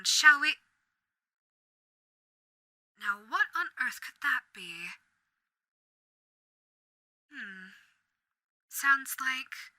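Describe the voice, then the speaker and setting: A woman performs a suave, sadistic female radio demon with old-fashioned manners and a theatrical, toying delivery. The voice is raised and very bright, recorded dry and close. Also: fairly high pitch, no room sound, heavily acted, poor audio. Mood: smug, then curious. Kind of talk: audio roleplay.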